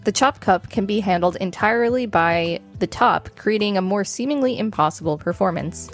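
An American woman with a medium-pitched voice co-hosts a podcast. She reads from an encyclopedia article and adds informal banter. Soft music sits behind her. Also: normal speed. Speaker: podcast co-host. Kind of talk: reading an encyclopedia article aloud with casual banter.

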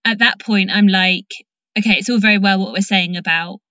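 Female interviewer, informal and supportive, conversational delivery, medium pitch, dry close recording. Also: steady tone, brisk, sharp tone, dry recording. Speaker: host, interviewer. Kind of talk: casual chat, interviewing.

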